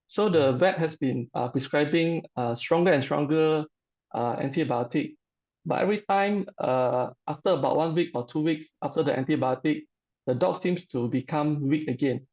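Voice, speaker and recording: A middle-aged man with a Malaysian accent, giving an informal personal testimonial in a halting, hesitant way. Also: on the high side, a little mellow, dull audio.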